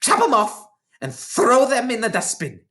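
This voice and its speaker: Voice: mid-range voice, wildly swooping pitch, moderate pace, fairly bright tone, pitched above the performer's own voice. A reader voicing a huge, menacing, contemptuous headmistress who barks and bellows orders in a children's storybook. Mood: furious, commanding. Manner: barking, bellowing commands.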